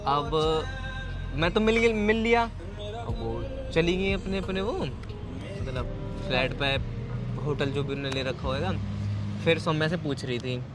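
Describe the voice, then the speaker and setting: A man's voice: a little high, animated, unhurried, long pauses, over music. A man of twenty chatting in a casual, teasing way, repeating himself as he explains. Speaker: prank video host. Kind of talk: casual chat, teasing banter.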